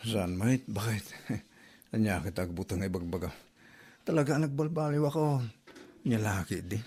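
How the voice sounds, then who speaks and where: A man's voice: fairly low pitch, normal speed, a little mellow, breathy edge. A man with an Ilocano accent playing a henpecked, sheepish drinking husband in a comedy drama podcast, whiny and exaggerated.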